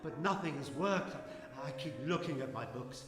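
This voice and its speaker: Regretful occultist, male actor: earnest radio drama delivery, slightly high pitch, music behind in an echoey room. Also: expressive, moderate pace, natural voice. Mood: defensive, regretful. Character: earnest, defensive occultist who summoned a spirit, now regretful. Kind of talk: live radio drama acting.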